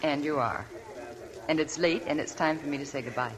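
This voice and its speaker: An actress playing a weary, heartsick young wife in a 1940s radio drama. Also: female voice, low voice, brisk, a crowd in the background, close to an everyday voice. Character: weary, heartsick young wife telling her sad past. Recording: old 1940s radio broadcast, audience murmur.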